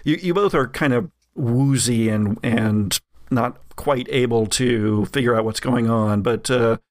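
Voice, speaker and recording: A man's voice: mid-range voice, measured pace. A man narrating as game master in a casual tabletop game, with many fillers and laughs.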